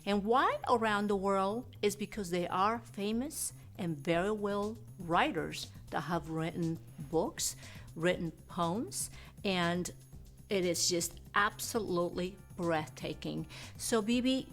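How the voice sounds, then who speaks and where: A woman's voice: mid-range voice, takes it slowly, soft background music. A woman from Mexico hosting a studio TV interview, gushing with effusive praise for her guest. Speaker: TV host.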